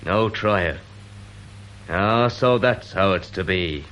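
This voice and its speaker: An actor playing a proud, fatalistic Irish republican captive in a radio drama, speaking in a theatrical way with an Irish accent. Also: male voice, low voice, slow pace, fairly bright tone. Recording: dull lo-fi old radio recording.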